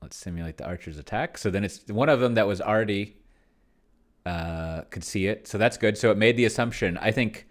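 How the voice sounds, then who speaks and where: A man's voice: lowish voice, brisk. A man giving casual think-aloud commentary on a game, musing to himself and trailing off. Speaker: solo tabletop game player and demonstrator.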